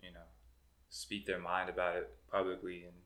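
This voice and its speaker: A man from New Mexico, an English teacher guesting on a casual podcast, speaking quietly and briefly from away from the mic. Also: low voice, monotone, moderate pace. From New Mexico, United States. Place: coworking room.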